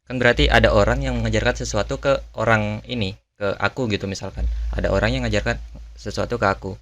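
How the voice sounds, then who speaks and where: Guy hosting a casual q&a, lowish voice, kinda thinks out loud. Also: steady tone, fast talker. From Indonesia. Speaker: language channel host answering viewer questions. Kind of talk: casual Q&A chat.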